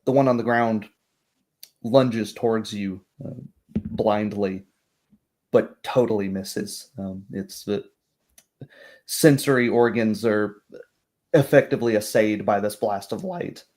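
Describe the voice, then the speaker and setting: Guy running a tabletop rpg as game master, lowish voice, wordy descriptive narration. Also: slow pace, pauses often, darkish tone.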